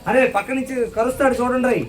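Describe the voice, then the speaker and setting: A woman's voice: on the high side, fairly even intonation, rapid-fire. A woman hosting a children's puppet show with playful, teasing banter, repeating phrases and calling out to the audience. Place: church hall with children in audience. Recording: echoey hall, distant mic, loud crowd.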